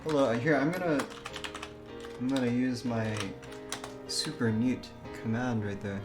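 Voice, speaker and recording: A man's voice: medium pitch, steady tone, measured pace. A man hosting a live stream in a casual, chatty way, giggly and easily sidetracked. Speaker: livestreamer. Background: background music.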